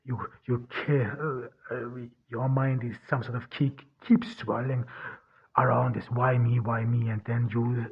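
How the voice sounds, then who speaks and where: A man whose first language is German, talking through a personal monologue as a podcast host in a halting, earnest way, restarting and searching for words. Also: medium pitch, little pitch movement, slightly rough. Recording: dull lo-fi recording.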